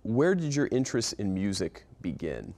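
A man hosting a community podcast, reading a scripted intro and then interviewing guests in a friendly, measured way. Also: fairly low pitch, very animated, dark tone. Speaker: podcast host.

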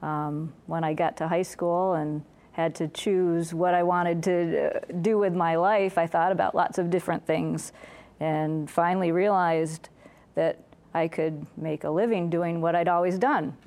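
Low-voiced woman, plainspoken guest. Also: fairly even intonation, a little slow, darkish tone. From Central New York. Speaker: music teacher guest. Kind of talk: casual interview answers.

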